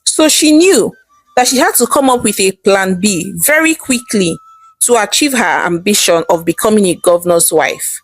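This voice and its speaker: A woman's voice: medium pitch, expressive, normal speed, breathy edge, no room sound, faint music behind, poor audio. A woman narrating a scripted folktale in a dramatic storytelling style.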